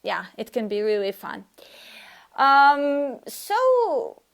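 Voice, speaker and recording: A woman's voice: high-pitched, big pitch swings, drawn-out words, vocal fry, a bit bright. A woman whose first language is Serbian, giving a casual language lesson and answering a viewer, with frequent hesitations and restarts. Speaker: language teacher.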